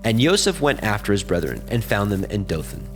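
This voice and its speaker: A man with a fairly low voice narrates scripture. His reading is measured and solemn, like formal storytelling. Soft guitar music plays faintly behind him. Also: very animated, low-quality recording.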